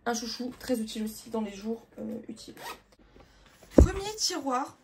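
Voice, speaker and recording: Young woman, hoarse and gravelly, rambling casual vlog talk, noisy background. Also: a little high, animated, normal speed, warm tone. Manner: chatty, rambling, lots of fillers. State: hoarse, sore throat. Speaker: makeup artist vlogger. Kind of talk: casual sorting vlog, talking to viewers.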